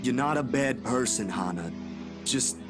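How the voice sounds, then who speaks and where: A man's voice: mid-range voice, lively intonation, a little slow, lightly acted. A man playing a polite, principled young man in a visual novel game, earnest and hesitant yet firm. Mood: calm, forgiving. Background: game music.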